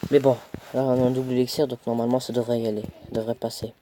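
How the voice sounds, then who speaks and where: A man's voice: medium pitch, little pitch movement, quick pace, dark tone, slight background noise. A young man with a cold, casually commentating his own mobile game match, chatty and self-mocking, muttering as he plays. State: stuffy with a cold.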